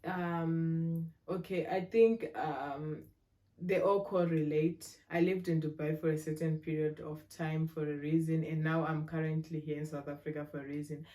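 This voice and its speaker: A woman in her twenties from Zimbabwe answers interview questions in a low, warm voice. Her pitch stays fairly even, and she talks in a thoughtful, measured way with frequent fillers. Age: 20s. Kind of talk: relaxed conversational interview answers.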